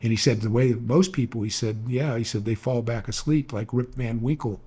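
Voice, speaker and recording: A man's voice: mid-range voice, moderate pace, warm tone, husky. An older man, an author, telling rambling, earnest stories in a reflective talk, often restarting his sentences. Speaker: author and speaker.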